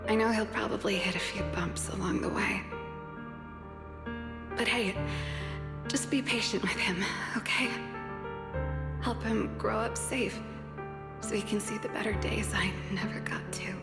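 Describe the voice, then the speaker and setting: An actress playing a gentle, wistful older sister with a soft, breathy voice in a video game. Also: female voice, mid-range voice, fairly even intonation, a little slow, long pauses, natural voice. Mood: tender, wistful. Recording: very echoey game audio effect. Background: loud game music.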